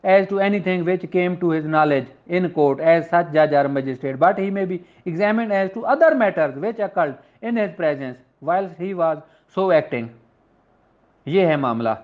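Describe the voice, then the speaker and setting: A Pakistani man with a high-pitched voice teaches in an informal, explanatory way, as a lecturer would. The recording is dull and lo-fi. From Punjab, Pakistan. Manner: explanatory, switches into slow deliberate reading. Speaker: law lecturer. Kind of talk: informal lecture, explaining and reading out legal text. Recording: dull, lo-fi audio.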